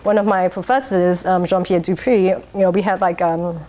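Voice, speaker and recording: A woman's voice: lowish voice, fairly even intonation, moderate pace, dark tone, low-quality recording. Chatty woman who laughs a lot. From Singapore. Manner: chatty and rambling, laughs often, many um's and restarts. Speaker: interviewee, wine bar owner. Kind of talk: oral history interview.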